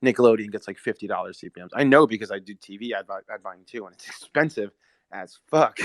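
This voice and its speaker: A man talks quickly and loosely, swearing freely and joking as he co-hosts a casual podcast chat. His voice is mid-pitched and sounds dry and close to the mic. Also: no room sound. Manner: fast, profane, joking. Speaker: podcast co-host.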